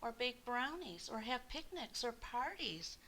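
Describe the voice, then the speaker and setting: A woman's voice: a little high, a little slow. An older woman, in her sixties or beyond, speaking expressively and kindly to young children as a storyteller. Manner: animated, addressing young listeners kindly. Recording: hissy background noise.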